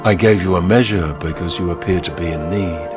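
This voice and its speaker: A man playing a grave, courteous barman in a radio story, speaking in formal, measured phrases, pleading and ominous. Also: low-pitched, music in the background, pitched below the performer's own voice, natural voice. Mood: firm, pleading. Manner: formal, measured, addresses customer as sir. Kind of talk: dramatic radio short-story acting.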